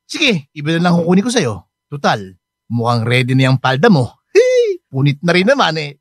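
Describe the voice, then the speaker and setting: A man's voice: on the high side, huge pitch swings, moderate pace, no echo, studio quality. A Filipino man acting a menacing, leering street thug in a radio drama. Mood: menacing, taunting. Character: menacing, leering male street thug who harasses women. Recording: loud music behind the voice.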